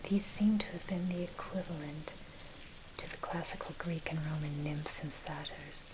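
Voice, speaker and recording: A woman's voice: sits low, normal speed. Whispering woman narrator over heavy rain. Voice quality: breathy, unvoiced whisper. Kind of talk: whispered ASMR reading of dark history. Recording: steady loud rain noise over voice. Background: rain and thunder.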